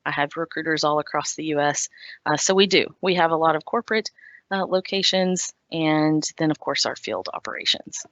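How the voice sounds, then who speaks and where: A woman's voice: low voice, moderate pace, a bit bright, dry recording. A woman with a Texan accent, speaking as a recruiter at an online career fair, friendly and hesitant with many fillers. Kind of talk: virtual career fair presentation. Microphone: video call. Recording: dull dry video call audio.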